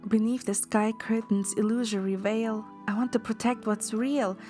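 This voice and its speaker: A woman's voice: a little high, steady tone, moderate pace, mellow, soft background music, natural voice. A woman voicing an earnest, determined, affectionate young empress in game dialogue read aloud. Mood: earnest, resolute.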